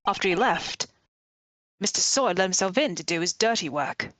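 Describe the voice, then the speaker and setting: A woman's voice: mid-range voice, animated, normal speed, a bit bright, a little hiss or hum. A woman hosting a let's play, reading scripted game narration aloud. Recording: music behind the voice. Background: game music.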